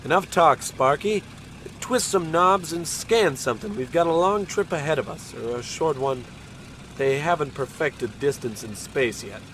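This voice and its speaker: An actor playing a bossy, pompous, short-tempered space captain in a scripted old-time radio comedy. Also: male voice, fairly high pitch, unhurried, fairly bright tone, a little hiss or hum. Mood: breezy command. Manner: pompous, blustering, commanding.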